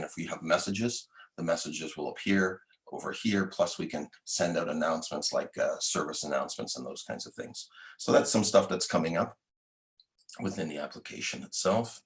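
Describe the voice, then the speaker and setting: A man hosting a developer livestream, giving casual product updates and introducing segments in a loose way over a video call. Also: low-pitched. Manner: casual, loose with fillers and restarts.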